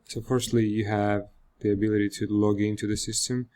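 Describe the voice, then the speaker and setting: A man with a Georgian accent, a native Georgian speaker, walking viewers through a web app in a screen-recorded demo, explaining with pauses and fillers. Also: on the low side, little pitch movement, a little mellow. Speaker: software developer demoing a product.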